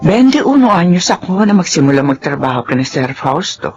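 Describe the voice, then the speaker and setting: Weary widowed housemaid, gentle, motherly, varied pitch, muffled recording. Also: sing-song, a little fast, faint music behind. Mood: reminiscing. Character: weary loyal middle-aged widowed housemaid. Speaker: radio drama actor. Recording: dull lo-fi radio recording.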